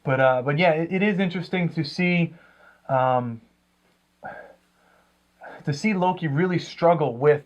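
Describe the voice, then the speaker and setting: A man's voice: a little high, a little slow, long pauses. A man co-hosting a comics review show, chatting casually with hesitant, rambling phrasing. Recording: dull lo-fi sound.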